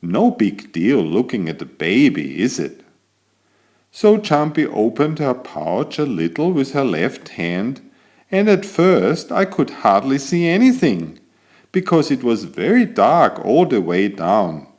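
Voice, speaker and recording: An elegant storybook tomcat played by a man who is very pleased with himself. He speaks slowly, with pitch that rises and dips freely, and talks straight to the children listening. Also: big pitch swings, darkish tone. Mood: confiding. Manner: self-admiring, theatrical, addresses young listeners directly. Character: elegant, vain, chatty tomcat telling his adventures. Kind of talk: animated children's picture-book narration.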